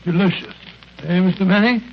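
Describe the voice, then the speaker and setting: Elderly English doctor, high-pitched, genial, stammering storyteller; muffled vintage radio sound. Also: male voice, fairly quick. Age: older. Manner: chuckling, stammering, rambling. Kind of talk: 1940s radio acting. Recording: muffled, hissy 1940s radio recording.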